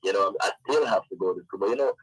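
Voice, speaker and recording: Low, husky man chatting. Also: fast talker, rough recording. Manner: conversational, frequent "you know". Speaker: interview guest, event organiser. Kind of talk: casual interview chat.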